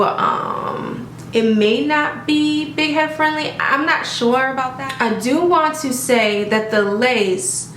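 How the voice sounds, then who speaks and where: A woman's voice: fairly high pitch, fairly bright tone, a little hiss or hum. A woman casually reviewing a wig at home, chatty and upbeat, often talking straight to her viewers. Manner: chatty and upbeat, often addressing viewers as "you guys" and asking "okay?". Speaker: beauty vlogger reviewing a wig. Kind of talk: casual product review vlog. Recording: roomy echo.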